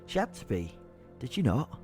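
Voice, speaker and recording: A man's voice: medium pitch, big pitch swings, normal speed, darkish tone, faint music behind, lightly acted. An actor playing a rattled, plain-spoken young man on a night out, telling his story in an agitated, self-questioning way in an audio drama. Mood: rattled, bewildered. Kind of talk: dramatised first-person storytelling.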